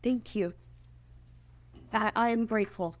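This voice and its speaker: A woman's voice: a little high, a little slow, lightly acted. An actress playing a shaken young noblewoman in a fantasy table read, speaking hesitantly and formally. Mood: weary, wary. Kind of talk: live table read of a screenplay.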